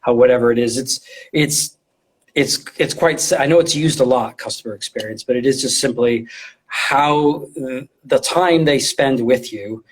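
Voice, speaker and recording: A man's voice: medium pitch, normal speed, breathy edge. A middle-aged man speaking as an interview guest, explaining his field in an informal, conversational way and often repeating words as he thinks. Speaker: guest, retail design and visual merchandising specialist.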